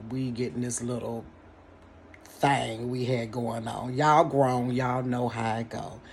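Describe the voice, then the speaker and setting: Woman telling a storytime vlog her bedroom, middle-aged, laughs a lot, talks right to the camera, faint room hiss. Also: rumbling low. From Cincinnati, United States. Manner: animated, laughs often, talks straight to the viewers. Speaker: storyteller vlogger. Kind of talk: casual storytime monologue to viewers.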